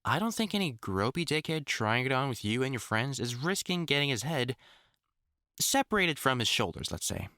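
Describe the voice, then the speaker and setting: A man's voice: medium pitch, pushed and bright, no echo. A man playing an older, experienced vampire hunter in an audio roleplay, talking informally and reassuringly like a mentor, with dry jokes and mild swearing. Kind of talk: intimate one-sided audio roleplay, conversational acting.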